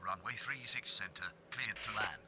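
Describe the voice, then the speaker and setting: Low-voiced man as a pilot on noisy radio. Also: moderate pace. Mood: businesslike. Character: businesslike male pilot on the radio. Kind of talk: formal clipped pilot radio readback. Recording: noisy aircraft radio channel.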